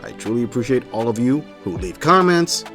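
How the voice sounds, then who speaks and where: Male reaction host, blunt, mocking; quick delivery over faint music. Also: mid-range voice, animated, fairly bright tone. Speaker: commentary channel host. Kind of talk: reaction commentary on video clips.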